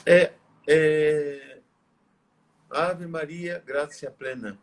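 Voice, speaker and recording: A tired, slightly hoarse male priest, leading prayers and preaching in a reverent, measured way. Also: fairly high pitch, fairly even intonation. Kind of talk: live religious talk and prayer.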